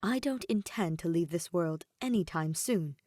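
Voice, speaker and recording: A woman voicing a gentle, quietly strong wife and mother, soft, tender and deliberate in an audio story. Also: fairly low pitch, lively intonation, dry recording, clean studio sound. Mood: reassuring.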